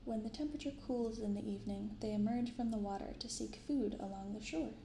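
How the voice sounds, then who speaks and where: A young woman speaking softly in an ASMR style, unhurried as she comments and reads aloud. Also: mid-range voice, creaky, echoey room, a little hiss or hum, low-quality recording. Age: young adult. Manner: unhurried, hushed commentary and reading from cards. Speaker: host of a card-opening channel. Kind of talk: soft-spoken ASMR. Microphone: binaural microphone.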